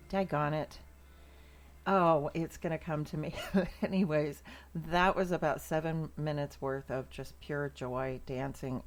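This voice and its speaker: Low-voiced American woman, chatty and emphatic. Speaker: sales trainer hosting a morning segment. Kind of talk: casual motivational talk to viewers.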